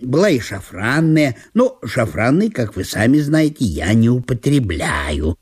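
A man's voice: medium pitch, very animated, a little slow, darkish tone, breathy edge. A man playing a chatty, self-absorbed provincial judge in a radio play, rambling and savoring his words. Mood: rambling, self-satisfied. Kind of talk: theatrical radio monologue.

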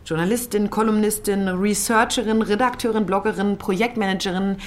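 An actress playing a curious freelance journalist in a naturalistic radio drama, polite, a little hesitant and gently skeptical. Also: female voice, medium pitch, steady tone, brisk, lightly acted.

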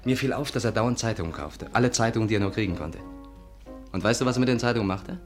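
Actor as a low-voiced, persuasive gentleman sleuth. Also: fast, lightly acted. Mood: earnest. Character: smooth, persuasive male amateur sleuth coaxing his sweetheart. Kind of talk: 1950s German radio drama acting. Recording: 1950s radio recording, music behind.

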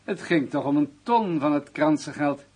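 An actor playing an unyielding police superior in a radio play, brusque, commanding and dismissive. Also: male voice, on the high side, normal speed, darkish tone, slightly rough. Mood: curt, dismissive. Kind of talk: radio drama acting.

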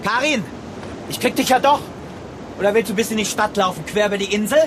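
A man's voice: high voice, a little fast, natural voice. Radio drama villain male actor: mocking, colloquial criminal, sneering menace, noisy ambient setting. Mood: taunting, coaxing.

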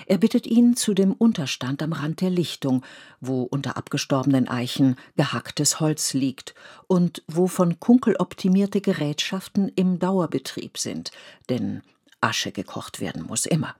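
A woman playing the sober, deliberate narrator of a historical radio play. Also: sits low, darkish tone, husky, full character voice.

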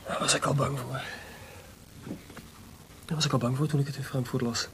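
An actor playing a frank, sensible composer in a radio drama, with a husky voice and a plain-spoken, reproachful manner. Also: male voice, mid-range voice, fairly even intonation, moderate pace, noisy background, lightly acted. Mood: worried. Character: frank, sensible composer, disappointed but loyal friend.

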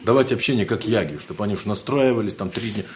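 A man's voice: lowish voice, animated, quick pace, noisy background. A man from Siberia with a Siberian Russian accent, giving an informal spiritual lecture in a joking, rambling way and laughing often.

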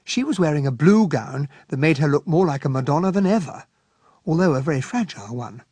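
Lively genteel English gentleman narrator. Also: on the high side, fairly quick, slightly rough, no room sound. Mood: genteel, observant. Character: gentlemanly English captain recounting a detective case. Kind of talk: narrated radio mystery drama.

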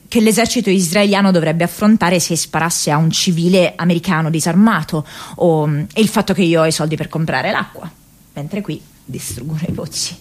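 A woman playing an earnest, troubled young American activist in a radio theatre diary monologue, hesitant and searching for words. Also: low-pitched. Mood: shaken, reflective.

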